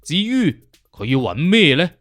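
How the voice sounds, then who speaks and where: A man's voice: fairly high pitch, sing-song, measured pace, fairly bright tone, no echo, faint music behind. A man playing a witty, conceited detective consultant in an audiobook, with a Cantonese accent and an emphatic, lecturing, self-assured manner. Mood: musing, investigating. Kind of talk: audiobook character acting.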